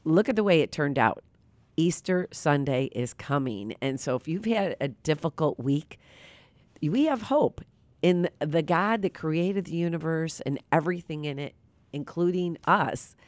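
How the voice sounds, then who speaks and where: A radio host speaks through a nasal, sleepy-sounding voice at a middling pitch, earnest and meandering, with plenty of fillers. The studio sound is close and dry, without room echo. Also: animated, unhurried. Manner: earnest, rambling, with many fillers. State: tired from little sleep. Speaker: radio talk show host.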